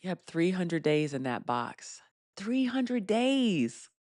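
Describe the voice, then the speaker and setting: Woman w/ a deeper voice doing a live q&a, laughs a lot, dry close mic. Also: sing-song, slow pace, a little mellow, no echo. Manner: chatty, laughs often, reads viewer comments aloud. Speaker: host of a live Q&A stream. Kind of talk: casual live stream chat reading comments.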